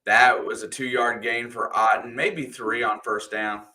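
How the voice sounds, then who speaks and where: A man with a bright, forceful voice doing fan play-by-play for a live stream. He fires off each snap in quick bursts, then drops back into easygoing chat. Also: medium pitch, level tone. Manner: calls plays in quick bursts, then chats casually.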